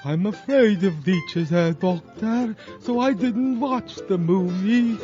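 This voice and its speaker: A man's voice: high-pitched, takes it slowly, dark tone, dull audio, soft background music. A man playing a squeamish, deferential hunchback servant to a mad doctor in a campy horror radio play. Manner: deferential, formal.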